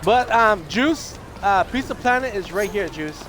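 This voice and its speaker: Hoarse, high-voiced man rambling on stream. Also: lively intonation, unhurried, a bit bright, a little hiss or hum. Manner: rambling, with many restarts. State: hoarse, losing his voice. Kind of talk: casual livestream chat. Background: video game music.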